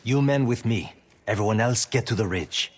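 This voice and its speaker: An actor playing a guarded, gruff leader of hunters in a game cutscene, wry, blunt and clipped. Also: male voice, fairly low pitch, moderate pace, close to an everyday voice. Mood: blunt, determined. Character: guarded, gruff male hunter leading outlaw archers. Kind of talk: dramatic video game cutscene acting.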